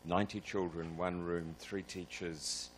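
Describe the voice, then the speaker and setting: A man's voice: deep voice, level tone, a little slow, a little hiss or hum. A man with a New Zealand accent asking a rambling question from the audience in a large lecture hall, restarting his sentences often.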